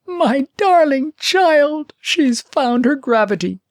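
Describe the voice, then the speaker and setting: A man voicing an elderly woman nurse in a storybook, screaming her delight in drawn-out, excited cries. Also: very high-pitched, no room sound, studio quality, voice pushed up, full character voice. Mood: shrieking delight. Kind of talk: storybook character voice.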